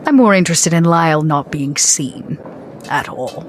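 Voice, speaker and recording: A woman's voice: deep voice, expressive, normal speed, a little hiss or hum. A woman playing a cold, regal, century-old vampire with a clipped, formal and imperious manner in a tabletop game. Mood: cool, controlled.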